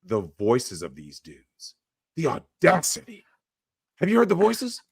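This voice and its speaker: A man's voice: fairly high pitch, wildly swooping pitch, measured pace, gravelly, darkish tone, breathy edge, dry recording, studio quality. A man giving blunt opinions on a livestream, talking emphatically, swearing and acting out the people he quotes. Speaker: guest.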